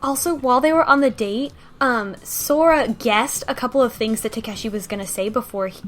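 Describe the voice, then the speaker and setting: A woman's voice: on the high side, fairly quick, faint music behind. A woman talking casually in a group discussion about a game, rambling with many restarts and fillers. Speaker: podcast co-host discussing a game.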